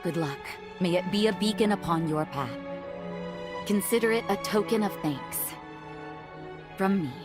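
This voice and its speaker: A woman playing a confident, warm and teasing leader of a sunken city in a video game cutscene. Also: fairly low pitch, animated, normal speed, long pauses, lightly acted. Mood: tender, grateful. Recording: music behind the voice.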